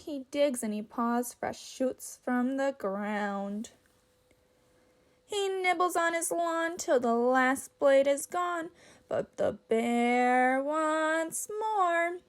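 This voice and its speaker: Slow, high-pitched woman reading to children. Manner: slow, deliberate, instructive, pausing to ask questions. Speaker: teacher reading aloud to children. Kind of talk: storybook read-aloud with comprehension questions.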